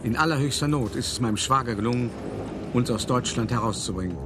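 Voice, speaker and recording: An actor playing an elderly, wry and weary art dealer who recounts his life in a measured, dramatic way in a radio play monologue. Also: medium pitch, background noise, natural voice. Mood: tense recounting. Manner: measured, dramatic, cultivated raconteur.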